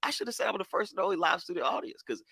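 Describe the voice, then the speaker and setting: A man's voice: high-pitched, lively intonation, racing, gravelly, sharp tone, husky, no echo. A man guesting on a dating podcast, upbeat and affirming, laughing easily. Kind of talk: casual podcast guest chat.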